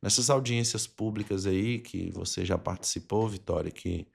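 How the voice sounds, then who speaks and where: A man's voice: sits low, moderate pace, vocal fry, breathy edge. A Brazilian man hosting a podcast, speaking conversationally in articulate, formal wording. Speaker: podcast host. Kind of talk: conversational interviewing.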